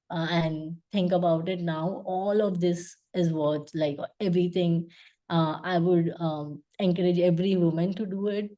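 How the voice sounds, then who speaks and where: A woman's voice: lowish voice, dark tone. A young woman from India with a Gujarati-accented English, speaking earnestly as an interview guest and using many fillers. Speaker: guest, UX designer. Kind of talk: casual interview talk.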